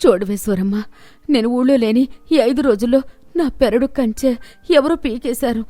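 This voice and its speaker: A woman's voice: on the high side, normal speed, mellow, no room sound, natural voice. A woman voicing a poor, caring, outspoken village vegetable seller in a storybook tale, plaintive and homely. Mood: indignant. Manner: plaintive, emphatic, homely scolding.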